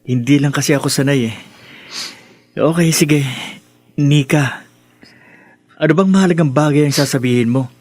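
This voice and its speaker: A man's voice: fairly high pitch, normal speed, pauses often, a little mellow, no room sound, natural voice. An actor playing an earnest, consoling young man in a Filipino radio drama, speaking with emphatic sincerity. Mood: puzzled, casual. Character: earnest, consoling young male friend, urging justice.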